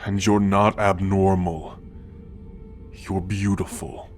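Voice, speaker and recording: A man voicing an ancient, godlike great-grandfather, wise and quietly commanding, speaking deliberately and affectionately in a scripted ASMR roleplay. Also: sits low, flat delivery. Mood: gentle, fond. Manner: slow, deliberate, affectionate, with sighs and soft chuckles. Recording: music under the voice. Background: background music.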